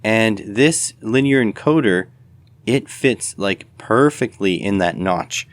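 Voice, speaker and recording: A man's voice: lowish voice, lively intonation, takes it slowly, dry recording. A man giving casual hands-on commentary as host of an electronics teardown video, tentatively thinking aloud as he goes.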